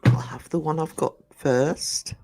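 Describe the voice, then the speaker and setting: A man, croaky from just waking up, rambling chattily to his live-stream viewers from home and greeting them by name. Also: on the high side, fairly even intonation, a little slow, a little mellow, soft background music. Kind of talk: casual live-stream chat. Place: indoors at home. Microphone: desk microphone.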